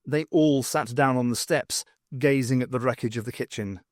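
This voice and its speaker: A man's voice: mid-range voice, normal speed, no echo, clean studio sound. A man narrating a fiction audiobook in a scripted storytelling style.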